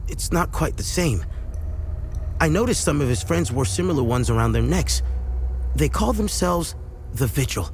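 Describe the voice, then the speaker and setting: An actor playing an earnest young blacksmith's apprentice with a rough past, speaking plainly in a video game. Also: male voice, fairly high pitch, a little hiss or hum, exaggerated voice. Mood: explaining, guarded.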